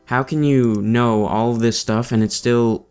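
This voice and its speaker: A man's voice: mid-range voice, steady tone, a little slow, darkish tone, clear, resonant tone, faint music behind. A man hosting a casual podcast chat, musing with curiosity about ideas. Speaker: podcast host.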